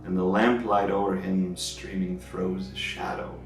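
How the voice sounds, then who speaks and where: A man reading poetry as dramatic storytelling, with theatrical, measured and expressive phrasing. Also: low-pitched. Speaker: reader of a classic poem. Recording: roomy, faint music behind.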